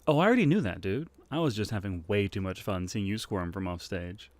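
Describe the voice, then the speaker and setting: A man's voice: on the low side, lively intonation, fairly quick, darkish tone, dry recording, studio quality. A man co-narrating a fan audio drama in a playful, casual way.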